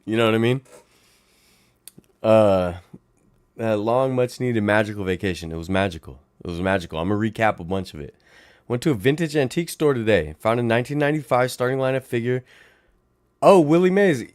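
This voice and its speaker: Raspy-voiced man hosting a livestream. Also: fairly low pitch, a little fast, long pauses, no echo, clean studio sound. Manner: animated, playful, talks to chat viewers. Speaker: livestream host. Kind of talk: casual livestream chat. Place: home.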